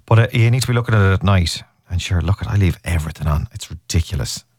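A man's voice: low-pitched, fast, darkish tone, husky, no echo, clean studio sound. Rambling radio host man. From Ireland, Cork. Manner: rambling, jokey, self-interrupting. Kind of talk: casual radio chat. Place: radio studio. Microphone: studio microphone.

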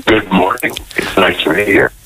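A man's voice: low-pitched, normal speed, bright, forceful tone, slightly rough, low-quality recording. A middle-aged American man from Connecticut, a guest on a phone-in radio interview, talking hesitantly with many ums and restarts. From Connecticut, United States. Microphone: phone line.

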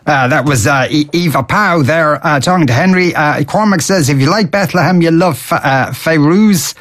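A man's voice: fairly high pitch, normal speed, a bit bright. A man hosting a radio show, chatting casually with many filler sounds and hesitations.

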